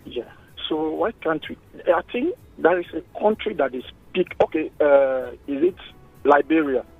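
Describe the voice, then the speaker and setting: Gravelly man calling in. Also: fairly high pitch, very animated, moderate pace, phone-quality sound, faint music behind. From Cameroon. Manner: playful, mixes in French. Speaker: caller. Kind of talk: casual phone-in chat.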